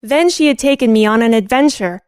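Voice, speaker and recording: A woman's voice: a little high, expressive, a little fast, a little mellow, clear, resonant tone, no echo, studio quality, lightly acted. A woman playing an earnest, anxious young girl who narrates and talks in an old-fashioned radio play, with formal phrasing. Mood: reflective, uneasy.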